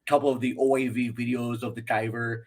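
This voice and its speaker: Mid-pitched, rambling man on a podcast. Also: flat delivery, fairly quick. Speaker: podcast guest. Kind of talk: casual film discussion.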